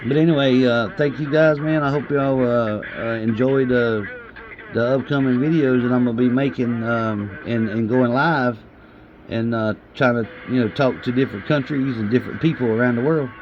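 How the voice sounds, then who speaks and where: A man's voice: mid-range voice, steady tone, moderate pace. A man with a Southern US accent chatting casually to viewers in a folksy, hesitant way, often repeating himself. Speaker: CB radio hobbyist and video host. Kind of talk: casual chat to camera. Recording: muffled, with clear background radio noise.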